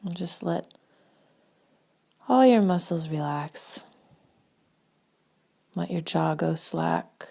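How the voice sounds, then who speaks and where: A woman leading a guided meditation in a gentle, spaced-out way, her soft breathy voice often trailing toward a whisper. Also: fairly low pitch. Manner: slow, gentle, spaced-out phrasing with long pauses.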